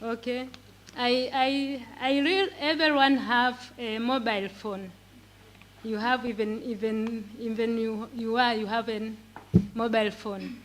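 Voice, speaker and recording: A woman with a Tanzanian accent and Swahili as her first language gives a talk in a fairly high voice. She speaks slowly and haltingly, often repeating a word while she looks for the next one. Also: fairly even intonation. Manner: halting, repeating words as she searches for them. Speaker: pre-primary teacher and conference speaker. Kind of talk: prepared talk in simple English.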